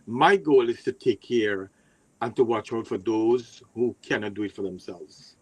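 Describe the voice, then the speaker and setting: A man from Guyana, a guest on a livestream talk show, speaking in a heartfelt way and slowing down for emphasis, over an online call. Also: fairly low pitch, slightly rough, low-quality recording. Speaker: guest, nurse and advocate. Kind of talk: casual livestream chat.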